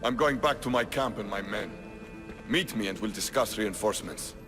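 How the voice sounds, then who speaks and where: An actor playing a proud, earnest Spartan military leader in a video game, speaking tersely. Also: male voice, lowish voice, normal speed, music in the background, close to an everyday voice. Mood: stern. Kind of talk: video game cutscene acting.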